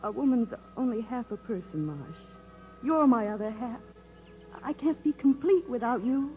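An actress playing a devoted, lonely, pleading wife in a 1950s radio melodrama, earnest and halting. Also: female voice, fairly high pitch, expressive, close to an everyday voice. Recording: thin, phone-like old radio broadcast, music.

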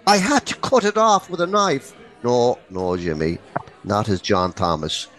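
Animated man telling bawdy comic stories. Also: mid-range voice, a little slow, soft background music. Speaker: podcast co-host, comic storyteller.

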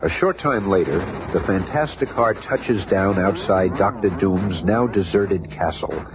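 A man's voice: sits low, a little slow. A man playing a grandiose, theatrical narrator of a superhero radio drama. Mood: dramatic. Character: dramatic, grandiose male storyteller of superhero tales. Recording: muffled old radio recording, faint noise.

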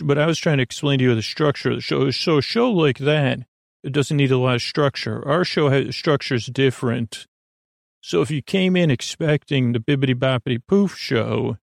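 A man hosting a sleep podcast in a rambling, halting monologue that drifts off into tangents and questions to himself. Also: medium pitch, vocal fry, dry recording, clean studio sound. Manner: meandering, halting, trails off into tangents and self-questioning. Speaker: podcast host.

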